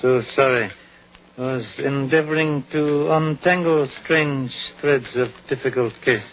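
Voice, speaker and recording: A man playing a calm, methodical middle-aged Chinese detective in an old radio drama, speaking in measured, clipped sentences that drop small words. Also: medium pitch, natural voice. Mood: polite, weary. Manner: measured, clipped speech dropping articles and pronouns. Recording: tinny, hissy vintage radio recording.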